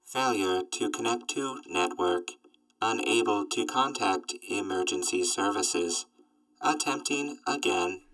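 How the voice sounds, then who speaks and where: Man as procedural robot, low, slow, emotionless, distant from the mic, audio drama. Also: fairly bright tone, faint music behind. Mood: flat, procedural. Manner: clipped, procedural, emotionless announcements. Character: malfunctioning robot assistant, flat and procedural.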